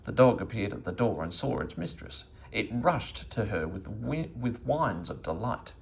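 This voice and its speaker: A man reading a children's story aloud as an expressive narrator. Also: fairly low pitch, normal speed, creaky. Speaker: storybook reader.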